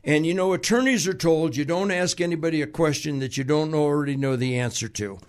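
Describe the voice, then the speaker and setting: A man's voice: on the high side, fast. A male radio host interviewing a guest, jokey and talkative, laughing often and asking long leading questions. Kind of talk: casual interview.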